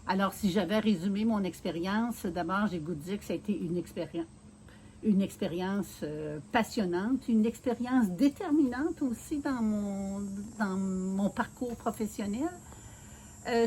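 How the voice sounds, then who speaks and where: Québécoise lady in her 60s, calm earnest interview talk, lots of little pauses, faint bugs outside. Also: mid-range voice, a little hiss or hum, low-quality recording. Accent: Quebec French. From Quebec City, Canada. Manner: measured and earnest, with many filler pauses. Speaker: mayoral candidate being interviewed. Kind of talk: casual interview, telling her life story.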